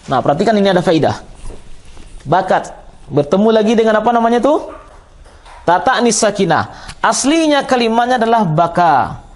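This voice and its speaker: Male religious-school teacher, Minangkabau Indonesian accent, high voice, didactic question-and-answer delivery. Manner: didactic, repeats and quizzes listeners. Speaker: Islamic teacher of Arabic grammar. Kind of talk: classroom lesson, explaining with questions to students.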